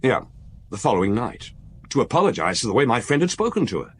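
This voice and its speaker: A young actor playing an earnest, hesitant junior police detective in a radio drama. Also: male voice, mid-range voice, very animated, fast, slightly rough. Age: young adult.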